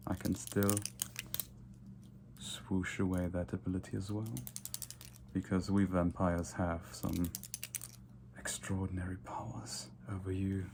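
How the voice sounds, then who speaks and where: Casting: man as domineering, playful vampire for ASMR roleplay; deep, mellow, soft and unhurried, with whispered asides. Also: a little hiss or hum. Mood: commanding, menacing. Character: haughty, domineering, playful male vampire lord pampering his captive.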